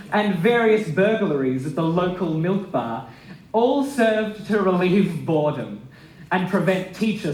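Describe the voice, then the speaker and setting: A man's voice: high-pitched, darkish tone. A man giving a dramatic, wryly humorous reading of a memoir at a live event. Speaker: stage reader and singer. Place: live literary event in a hall. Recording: echoey live hall, music in parts.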